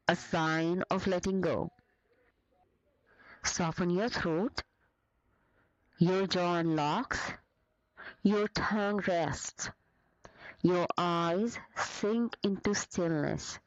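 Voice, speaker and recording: A woman leading a guided meditation as a teacher, with gentle, soothing, deliberate phrasing broken by short pauses. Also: lowish voice, no room sound, lo-fi sound.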